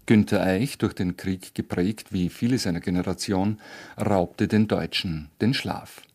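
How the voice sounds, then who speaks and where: A man's voice: low voice, fairly even intonation, a little slow, darkish tone, breathy edge. A man presenting on radio in scripted narration.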